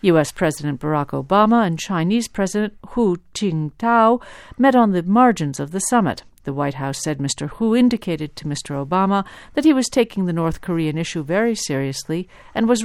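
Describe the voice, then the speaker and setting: A woman's voice: on the low side, expressive, a little fast, no echo. A woman presenting international radio news in a scripted reading style.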